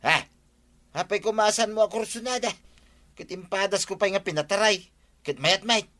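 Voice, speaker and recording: An actor playing an excitable, insistent husband in a regional radio comedy drama, with music behind him. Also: male voice, sits high, normal speed. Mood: insistent, excited. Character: excitable husband eager to buy a tricycle.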